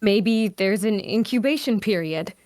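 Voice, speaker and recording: A woman playing a principled, soft-hearted adventurer with an earnest, hesitant manner in a fantasy audio drama. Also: mid-range voice, unhurried, no room sound, studio quality, natural voice. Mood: troubled, hesitant.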